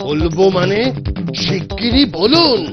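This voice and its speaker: A man's voice: very animated, slow, heavily acted. A man voicing an anxious, exasperated Maharaja in a cartoon, commanding yet fretful. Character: anxious, exasperated male Maharaja holding court. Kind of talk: Bengali cartoon voice acting. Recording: muffled low-fidelity track with music.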